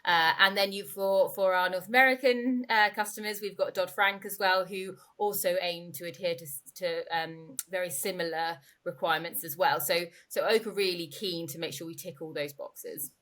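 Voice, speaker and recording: A woman speaks as an expert guest in an interview. Her voice sits a little lower than usual. She explains in an informal, conversational manner, often restating her point. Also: steady tone, moderate pace, hardly any pauses, a bit bright. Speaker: interviewee, company growth director.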